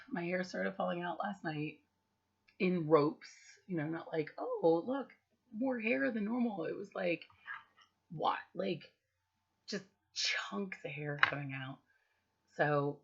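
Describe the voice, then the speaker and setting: A woman with a hoarse, husky voice after losing it, giving a candid, rambling personal update at home and laughing at herself now and then. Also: deep voice. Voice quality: husky, strained. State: hoarse, recovering from lost voice. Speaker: vlogger giving a health update. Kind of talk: casual personal update to camera. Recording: dull lo-fi home recording.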